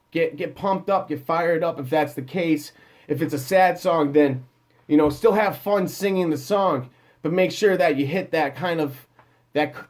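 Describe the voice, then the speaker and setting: A man with a slightly higher voice talks through singing advice in a loose, off-the-cuff way. He wanders and restarts his sentences, leaning on fillers as he goes. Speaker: music producer giving vocal advice. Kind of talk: casual advice talk.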